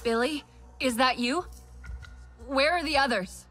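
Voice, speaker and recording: A woman's voice: high voice, measured pace, a bit bright, faint music behind. A woman acting a wary young captive fighter in a video game cutscene, questioning and guarded. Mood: puzzled.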